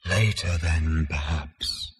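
A man voicing a quiet, deep, disembodied custodian in an audiobook, murmuring with soft, wistful, ethereal politeness. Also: huge pitch swings, slightly rough, exaggerated voice. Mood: calm, courteous. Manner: slow, politely melancholy murmur.

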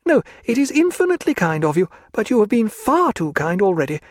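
A male audiobook narrator voicing a lively, teasing young English lady with a gushing manner. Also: a little fast, no echo, clean studio sound. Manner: gushing, teasing, high-spirited.

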